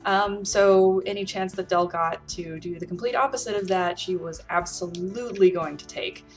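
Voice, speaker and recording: A woman's voice: medium pitch, normal speed, faint music behind. A woman guest giving a casual, conversational and reflective account. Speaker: guest game developer and roleplayer. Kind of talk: casual spoken account of a game.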